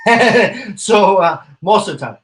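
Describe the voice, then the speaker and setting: A man's voice: high voice, expressive, measured pace, a bit bright. A man chatting casually as an interview guest, rambling with many fillers and the odd curse. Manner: rambling, frequent fillers and swearing. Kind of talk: casual chat.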